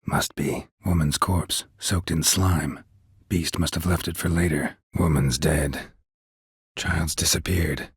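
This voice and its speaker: A gruff, world-weary monster hunter from a fantasy video game, with a deep and extremely husky, gravelly voice. He speaks in clipped, deadpan phrases. The recording is dry and close. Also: slow, no room sound, full character voice. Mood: terse, stoic. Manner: terse, deadpan, clipped sentences.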